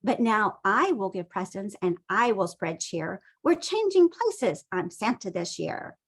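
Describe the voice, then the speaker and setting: A woman's voice: mid-range voice, very animated, measured pace, husky, full character voice. A woman voicing an eager, scheming male skeleton from a storybook, theatrical and grandly persuasive.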